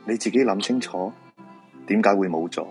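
A man's voice: fairly low pitch, normal speed, faint music behind, natural voice. A man with a Hong Kong Cantonese accent acting a weary, persuasive feng shui master in a supernatural radio drama. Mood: sober, persuading.

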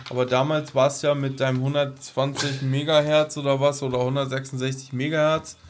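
A man's voice: mid-range voice, little pitch movement, brisk, slight background noise. A man co-hosting a podcast, chatting casually and colloquially and rambling along. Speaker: podcast co-host. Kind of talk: casual chat about science fiction.

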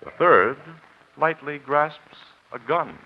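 A man's voice: a little high, animated, breathy edge. Male announcer for vintage crime radio, widely swinging intonation, polished dramatic narration, muffled sound. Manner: polished, deliberate, dramatic delivery. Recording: muffled old-time radio recording.

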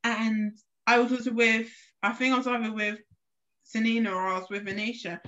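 A woman's voice: a little high, level tone, a little fast, sharp tone. A young woman, telling a story in a casual podcast chat and often restarting her sentences. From England. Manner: chatty storyteller, restarts a lot. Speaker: podcast co-host. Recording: slightly muffled lo-fi recording.

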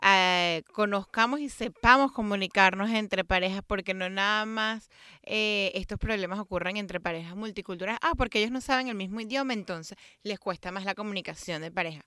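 A woman's voice: medium pitch, fairly quick, sharp tone, no echo, clean studio sound. A woman co-hosting a casual podcast, explaining things emphatically and dropping in the odd English word. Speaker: podcast co-host. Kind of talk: casual conversational podcast talk.

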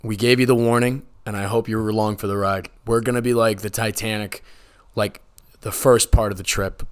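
A man in his twenties with a raspy voice, co-hosting a podcast in a loose, rambling way, often laughing. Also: fairly low pitch, fairly even intonation, fairly quick. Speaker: podcast co-host. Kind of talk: casual chat.

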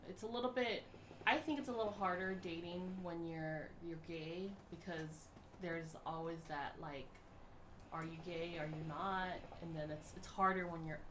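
Woman late 30s, lowish voice, lots of ums, roomy echo. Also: noisy background. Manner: hesitant, many "um"s, laid back. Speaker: co-host. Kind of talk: casual podcast chat. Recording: echoey room.

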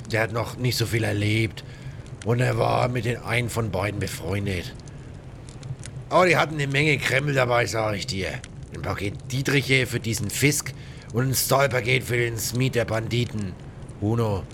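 A man voicing a rough, chatty pirate in a game audio drama, rambling in coarse colloquial speech with grudges. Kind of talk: acted game dialogue, colloquial storytelling. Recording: faint rumbling background noise.

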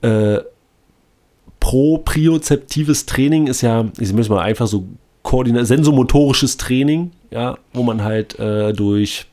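Chatty German-speaking man, relaxed. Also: mid-range voice, unhurried. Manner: relaxed, chatty, many filler sounds. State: slightly under the weather. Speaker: podcast co-host, gym trainer. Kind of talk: casual chat.

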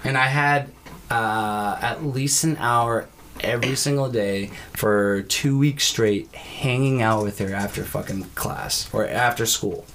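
A man's voice: medium pitch, slow, fairly bright tone. Foul-mouthed ranting man, faintly noisy recording. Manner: crude, profane, ranting. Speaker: podcast co-host. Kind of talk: casual movie review banter.

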